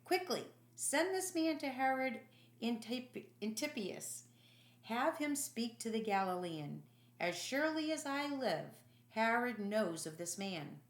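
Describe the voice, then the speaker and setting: An exasperated Roman governor from a storybook, played by a woman, booming out judgments in a raised pitch. The delivery is emphatic and commanding, with big swings in pitch and a roomy echo around it. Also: sing-song, a little slow. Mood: urgent, puzzled. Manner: loud, emphatic, declamatory.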